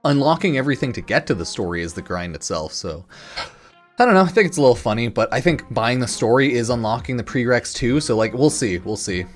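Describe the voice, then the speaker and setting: A man's voice: mid-range voice, lively intonation, fast. A man doing casual, off-the-cuff news commentary as a gaming video creator, rambling and opinionated in slangy speech. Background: music.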